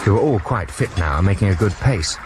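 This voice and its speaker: An actor playing a wry adventurer recounting his ordeal in a radio drama, in formal, understated, deadpan first-person narration. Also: low voice, a little fast. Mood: dry recounting. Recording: noisy 1990s radio drama broadcast.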